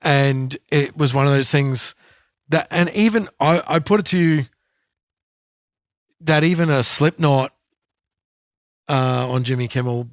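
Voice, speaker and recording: Aussie guy co-hosting, mid-pitch voice, thinks out loud, stop-start, dry mic. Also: dry recording. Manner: thoughtful, halting, repeats words. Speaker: podcast co-host. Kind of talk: casual podcast chat.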